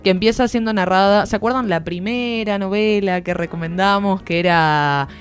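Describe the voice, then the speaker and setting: A woman's voice: mid-range voice, normal speed. A woman with an Argentine accent giving an informal, explanatory book review as a columnist on a radio show. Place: radio studio. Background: music.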